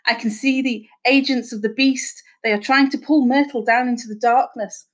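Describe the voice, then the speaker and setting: A woman's voice: on the high side, normal speed, fairly bright tone, close to an everyday voice. A woman voicing a scheming female fake medium who feigns sympathy, pleading melodramatically as if in a trance. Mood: sympathetic, eerie.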